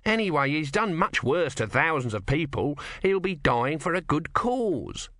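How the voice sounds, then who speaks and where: Man as a wily, cynical little creature, pitched up. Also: very animated, measured pace, a bit bright, no room sound, studio quality. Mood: callous, persuasive. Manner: wheedling, sardonic, evasive. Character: wily, cynical, bossy small creature, pragmatic and evasive.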